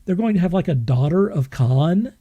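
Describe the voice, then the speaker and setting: A man's voice: sits high, lively intonation, normal speed, mellow, lo-fi sound. A man chatting casually and enthusiastically as a podcast panellist. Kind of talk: casual chat.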